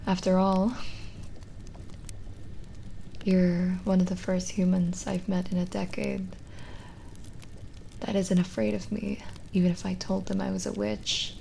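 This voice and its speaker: A woman playing a lonely, gentle witch in an ASMR roleplay, speaking softly and intimately, often in a whisper, with halting pauses. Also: mid-range voice, monotone, a little hiss or hum. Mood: lonely, vulnerable. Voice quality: soft, breathy, often whispered. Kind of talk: ASMR roleplay, slow soothing scripted acting.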